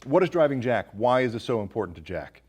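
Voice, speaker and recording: Man hosting with animated, swinging pitch. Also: fast talker, slightly rough. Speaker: podcast host. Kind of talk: casual film discussion.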